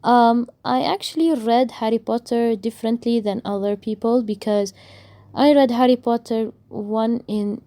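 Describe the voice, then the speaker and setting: A woman's voice: a little high, unhurried, dark tone. A young woman, a native Arabic speaker, ranting casually about books she read, with a rambling, sarcastic delivery and many restarts. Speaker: book vlogger. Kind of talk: casual book rant.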